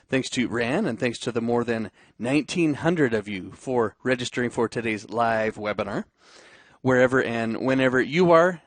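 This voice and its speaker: A man's voice: medium pitch, dry recording. A man hosting a live webinar, reading a friendly introduction of the guest, then chatting loosely with some fillers. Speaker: webinar host.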